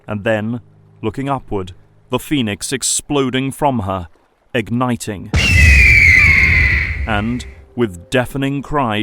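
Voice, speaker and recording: A man reading scripted, dramatic narration for an audio drama. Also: lowish voice.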